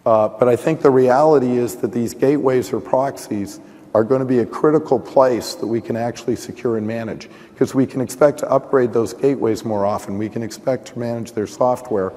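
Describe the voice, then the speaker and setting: A man with a hoarse voice explains a technical topic at a conference session. He works through his thoughts out loud, with restarts and fillers. His medium-pitched voice carries a long echo from a large hall. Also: a little fast. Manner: thinking aloud, with frequent restarts and "you know". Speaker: conference panelist.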